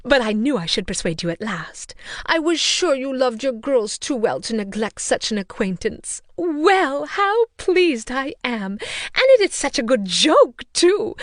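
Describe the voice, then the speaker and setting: A woman voicing a fretful, excitable middle-aged mother in a storybook reading, exclaiming and complaining. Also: medium pitch, normal speed, dry recording, studio quality, lightly acted. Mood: gushing.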